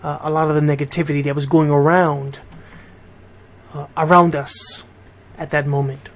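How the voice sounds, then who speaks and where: A man's voice: on the high side, flat delivery, moderate pace. A middle-aged American man from Connecticut hosting a radio show, talking informally and directly to his listeners. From Bridgeport, Connecticut, United States. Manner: conversational, addresses listeners directly. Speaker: radio host and DJ.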